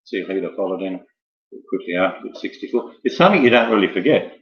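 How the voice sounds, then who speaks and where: Deep voiced guy running a casual online quiz, rambly and jokey, echoey room. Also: expressive, fast, lo-fi sound. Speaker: quiz host. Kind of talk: informal live online quiz hosting.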